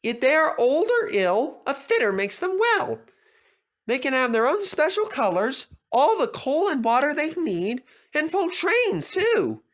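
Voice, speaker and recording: Squeaky, excitable little engine. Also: male voice, unhurried, full character voice. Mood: eager. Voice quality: squeaky, high-pitched. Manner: eager, chattering, emphatic. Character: excitable, earnest young male tank engine. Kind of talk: animated children's story character voice.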